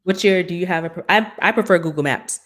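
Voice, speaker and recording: A woman's voice: lowish voice, quick pace, a bit bright. A woman co-hosting a tech podcast, explaining news conversationally with frequent ums and uhs. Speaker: podcast co-host. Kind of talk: casual tech news chat.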